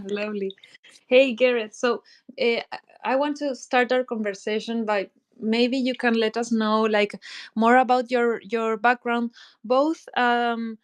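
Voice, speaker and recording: A woman hosts a podcast interview in a casual, friendly register, often stopping and restarting her sentences. Her voice sits a little high for a woman. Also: steady tone. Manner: chatty, halting, frequent fillers and restarts. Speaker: podcast host.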